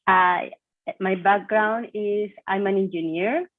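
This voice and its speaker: A woman introducing herself on a video call, halting and restarting her sentences. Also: medium pitch, fairly even intonation, dry recording. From Chile. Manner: hesitant, many restarts and fillers. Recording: tinny phone-like call audio.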